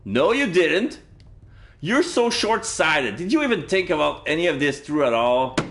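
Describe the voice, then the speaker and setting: A man's voice: a little high, very animated, unhurried, background noise, voice pushed up. A man voicing an alarmed, exasperated young protagonist confronting a killer while reading visual novel dialogue aloud. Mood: accusing, exasperated.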